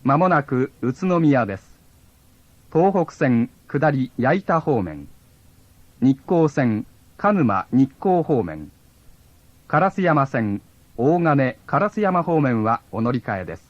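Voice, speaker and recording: A man's voice: medium pitch, sing-song, unhurried, no room sound. Lilting male train announcer on dull tape. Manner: formal, polite delivery. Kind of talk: scripted onboard train announcement. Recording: dull lo-fi old cassette tape.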